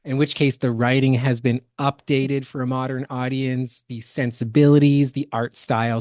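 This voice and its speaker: A man's voice: mid-range voice, a little mellow, dry recording. Guy co-hosting a podcast, casual explainer commentary, very dry close audio. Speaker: podcast co-host.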